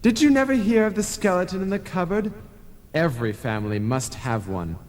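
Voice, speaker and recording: A man's voice: sits high, animated, brisk, darkish tone. Man acting a swooping, officious ghost, echoing. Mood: ominous warning. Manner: theatrical, sanctimonious, formal. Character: officious, preachy male ghost messenger, cautioning his brother. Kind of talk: old-time radio play acting. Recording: long reverb, analogue radio broadcast tape.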